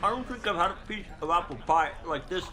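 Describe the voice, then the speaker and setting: A man's voice: high-pitched, expressive, fast talker, noisy background. A man playing an eccentric, unsettling stranger in a radio play, rambling theatrically and cryptically. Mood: whimsical, giddy. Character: eccentric, unsettling male stranger, whimsical turning sinister.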